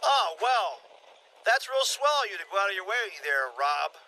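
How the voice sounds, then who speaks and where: A man's voice: sits high, big pitch swings, moderate pace, bright, forceful tone, slight background noise, heavily acted, rough recording. A man playing a clueless, easygoing husband in a comic audio play, casual and blasé.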